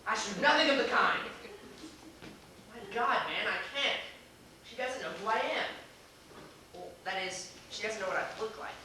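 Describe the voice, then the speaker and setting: A flustered, polite gentleman acted by a man stumbles anxiously through formal old-fashioned phrases on a theater stage. His voice is bright and keen, heard from a distance in a very echoey hall. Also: sits high, moderate pace, pauses often. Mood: indignant, flustered. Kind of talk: amateur stage acting in a comic one-act play. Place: small theater stage, recorded from the audience. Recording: echoey theater, distant camera mic, hissy noise.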